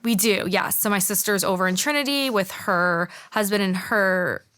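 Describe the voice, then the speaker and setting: A young woman from Florida speaks as a guest on an interview podcast. She is relaxed and chatty and laughs easily, and her pitch is mid-range for a woman. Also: normal speed. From Florida, USA. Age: young adult. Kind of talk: casual interview chat.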